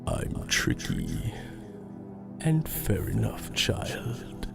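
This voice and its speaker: An actor playing a sinister, sly supernatural tempter in a horror audio drama, whispering formally in a breathy, creaky voice. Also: low voice, wildly swooping pitch, drawn-out words, full character voice. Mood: sly, coaxing. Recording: loud music behind the voice.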